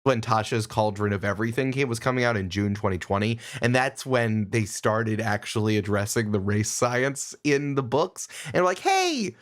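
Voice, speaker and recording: Guy on a podcast, casual and opinionated, argues and wanders off on tangents, average pitch. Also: expressive, moderate pace, hardly any pauses. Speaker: podcast guest.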